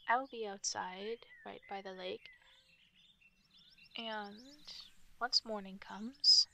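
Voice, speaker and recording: Woman as a hushed, bright-voiced wolf fairy. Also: mid-range voice, fairly even intonation, slow pace, vocal fry, heavily acted. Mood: calm, reserved. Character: guarded, protective female wolf fairy of the forest. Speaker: ASMR roleplay performer. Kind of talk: soft ASMR roleplay, often whispered. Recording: nature ambience and birdsong noise. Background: forest sounds, birdsong.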